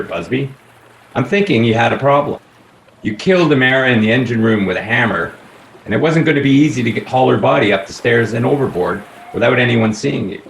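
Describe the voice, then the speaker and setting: An actor as a folksy, shrewd inspector with a mid-pitched voice. He is deliberate and accusing, in radio drama style, in a very roomy space with faint noise. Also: natural voice. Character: shrewd, folksy detective inspector laying out a case. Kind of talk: radio play acting. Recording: echoey room with faint noise.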